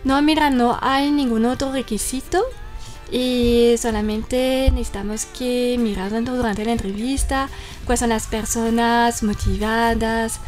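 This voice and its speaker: French-accented woman, a bit high-pitched. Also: fairly even intonation, measured pace, a little mellow, faint music behind. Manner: speaks in long explanations with fillers like "mira" and "entonces". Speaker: guest from a French cultural institute. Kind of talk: interview answers.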